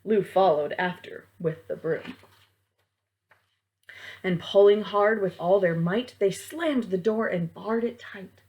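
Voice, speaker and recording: A woman reading a rhyming picture book aloud to children in a theatrical, deliberate way, as a library storytime reader. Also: medium pitch, darkish tone.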